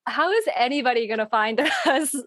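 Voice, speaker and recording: A woman's voice: high voice, sing-song, normal speed, fairly bright tone, no echo. A woman podcast creator talking casually in a group discussion about her work. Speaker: fiction podcast creator on a panel. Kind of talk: casual chat.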